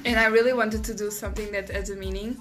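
A woman's voice: medium pitch, steady tone, fast talker, a bit bright, faint music behind. A twenty-two-year-old woman, co-hosting a podcast in a living room, rambling and self-mocking with frequent laughs. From Portugal. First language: Portuguese. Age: 20s. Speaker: podcast co-host. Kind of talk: casual chat.

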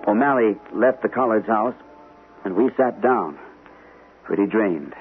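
Captain: low voice, earnest, rattled, old-time radio drama, tinny vintage sound. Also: male voice, animated, a little slow, faint music behind. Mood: weary, relieved. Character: troubled army captain, a sanitarium patient, earnest and shaken. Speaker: radio actor, also narrating in character. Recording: old radio broadcast, narrow tinny band, hissy.

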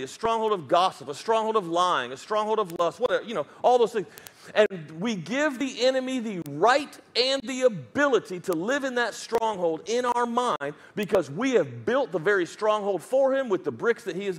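A man with a Southern US accent preaching a sermon as pastor, emphatic and dropping joking asides. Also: high-pitched.

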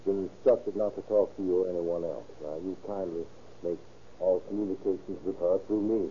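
A man's voice: low voice, steady tone, a little fast, mellow, lightly acted. An actor playing a scheming, formal and insistent male defense attorney in an old-time radio crime drama. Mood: combative, assured. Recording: tinny, noisy old radio recording.

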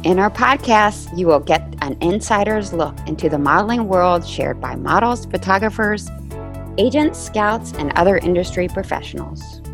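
Lively middle-aged woman podcast host. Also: on the low side, gravelly, soft background music. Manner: eager, chatty, quick interjections. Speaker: podcast host, modeling scout. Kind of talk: podcast interview.

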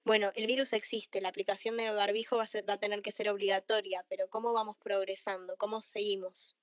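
A woman's voice: medium pitch, flat delivery, fairly quick, no echo, lo-fi sound. A teenage young woman with an Argentine accent, talking conversationally as a co-host on an opinion podcast. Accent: Argentine Spanish. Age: teens, secondary school student.

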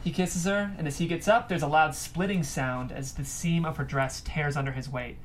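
A narrator reads screenplay stage directions at a table read. The voice is mid-pitched, with faint noise from the room behind it. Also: moderate pace.

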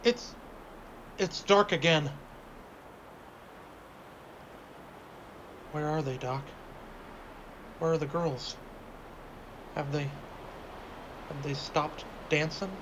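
A man performs a cowardly, superstitious country fellow in an old-time radio chiller. His voice is high and sharp, with a folksy, pleading stammer. The homemade recording is muffled, with clear noise behind him. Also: slow pace, long pauses. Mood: frightened. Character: nervous, cowardly small-town man, superstitious sidekick. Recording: noisy, dull homemade radio drama recording.

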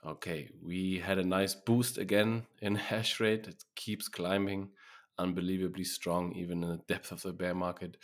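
A man hosting a podcast, opening the show and introducing his guest with upbeat energy. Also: sits low. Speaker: podcast host.

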